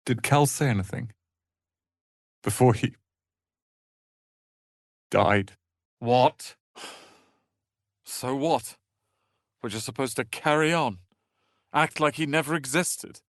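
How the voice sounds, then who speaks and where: A man's voice: medium pitch, takes it slowly, long pauses, dry recording, clean studio sound. A man voicing a haughty, sardonic young wizard's apprentice in a video game, with a pompous, theatrical delivery. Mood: subdued sorrow, then anguished anger. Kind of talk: dramatic video game voice acting.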